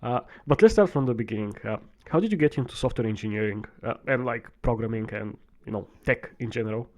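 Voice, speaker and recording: A man's voice: mid-range voice, fast, darkish tone. A man hosting a podcast, asking casual interview questions with some stumbles and restarts. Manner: friendly, hesitant phrasing with restarts. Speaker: podcast host.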